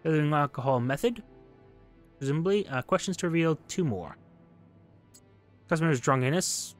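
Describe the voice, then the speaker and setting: A male gaming host with a slightly high voice reads and muses his way through a game. He is articulate and dryly understated, with soft music playing behind him. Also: animated, moderate pace. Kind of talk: game playthrough commentary, reading on-screen text aloud.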